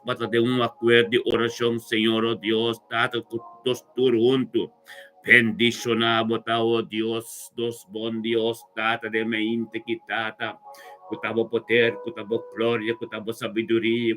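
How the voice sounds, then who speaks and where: High-voiced man praying fervently over music. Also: fairly bright tone. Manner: devout, repetitive invocations and exclamations. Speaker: religious worshipper leading prayer.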